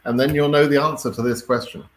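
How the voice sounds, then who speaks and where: A British man hosts a casual live Q&A, reading questions and answering them off the cuff. His voice sits at an average pitch for a man. He is blunt and wry, a little exasperated, and often checks that listeners follow. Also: steady tone, fast talker, a little hiss or hum. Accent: British English. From Britain.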